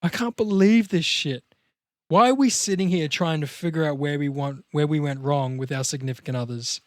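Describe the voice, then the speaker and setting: A cocky young slacker, acted by a man pushes and coaxes his pal in a comedy table read. The voice is raised in pitch, emphatic and crude. The sound is very dry. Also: normal speed, dry recording, natural voice. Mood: exasperated. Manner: emphatic, sweary, coaxing.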